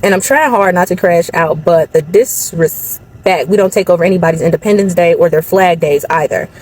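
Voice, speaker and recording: A woman's voice: on the low side, fairly quick, a little mellow, rough recording. A woman giving a pointed, exasperated opinion monologue, with faint vehicle noise in the background.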